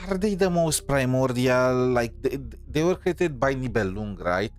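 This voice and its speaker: A man's voice: mid-range voice, animated, a little slow, faint music behind. A man livestreaming a game, giving casual, musing commentary with some hesitation.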